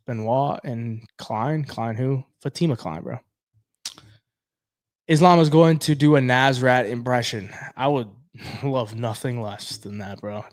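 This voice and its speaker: A man's voice: mid-range voice, darkish tone, no echo, clean studio sound. A man hosting an MMA betting show, chatting casually with viewers and reading their comments in a laid-back, slangy way, sounding stuffy as he loses his voice. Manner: laid-back, slangy, says "bro" often. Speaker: MMA betting podcast host.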